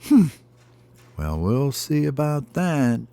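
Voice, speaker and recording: A man's voice: mid-range voice, sing-song, slow, mellow, no echo, pitched above the performer's own voice. A man voicing a menacing, mocking dragon in a children's story, drawing out his taunts. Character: menacing, mocking male dragon who turns pleading.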